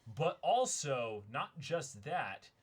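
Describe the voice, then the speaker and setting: A man's voice: fairly high pitch, very animated, drawn-out words, breathy edge. A man co-hosting a stream in casual chat, speaking agreeably and often repeating short affirmations.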